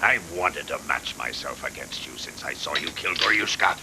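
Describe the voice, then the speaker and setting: A man's voice: lively intonation, moderate pace. A man voicing a ruthless, gloating hunter-warrior villain in an anime dub, taunting in formal, theatrical phrases. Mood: cool, taunting. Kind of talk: dubbed anime voice acting. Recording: noisy old VHS tape, rumbling effects.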